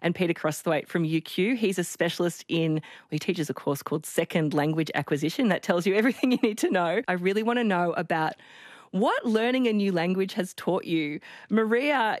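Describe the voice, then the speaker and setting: A woman hosting a radio call-in show, introducing guests, taking calls and reading out listener texts in a friendly, brisk way. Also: lowish voice, lively intonation, no room sound. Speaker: radio host. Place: radio studio. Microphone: studio microphone. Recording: dry studio sound.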